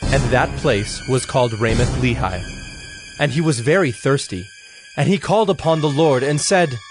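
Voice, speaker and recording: A man narrating dramatized scripture in a measured storytelling manner. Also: medium pitch. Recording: dry studio with music underneath. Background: music.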